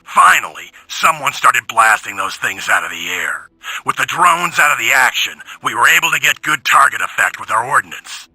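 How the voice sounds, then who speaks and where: Forceful, husky grumbling robot soldier. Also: male voice, medium pitch, very animated, moderate pace, no room sound, heavily acted, rough recording. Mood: wary, grim. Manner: gruff, wry, complaining. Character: grumbling, sardonic male robot soldier giving war reports. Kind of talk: video game audio log acting.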